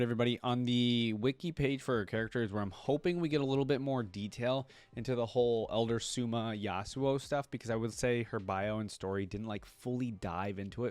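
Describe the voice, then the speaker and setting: A man giving casual reaction commentary as an online creator, reading wiki text aloud and thinking out loud about it. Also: mid-range voice, no echo. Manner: thinks aloud, interjecting between read passages.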